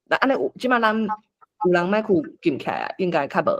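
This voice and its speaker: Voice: medium pitch, expressive, a little fast, fairly bright tone. A person with a Taiwanese accent explaining and organising a group script reading, thinking aloud in an informal way as the facilitator. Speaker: workshop facilitator.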